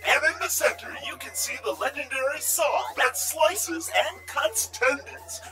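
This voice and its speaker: A woman's voice: high voice, a little slow, pushed and bright, faint music behind. A woman playing a gleefully morbid tour guide on a recorded exhibit narration in an audio drama, emphatic and presentational. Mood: morbid, showy.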